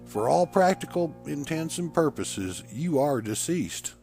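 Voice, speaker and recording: A man's voice: fairly high pitch, expressive, unhurried, gravelly, dark tone, soft background music, pitched above the performer's own voice. A man voicing a cold, smug prison warden in a horror audiobook, formal, condescending and emphatic. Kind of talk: dramatic horror audiobook reading.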